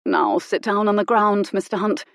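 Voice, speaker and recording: A woman doing an icily polite, menacing gentleman. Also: medium pitch, normal speed, vocal fry, no room sound, studio quality, close to an everyday voice. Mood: icy menace.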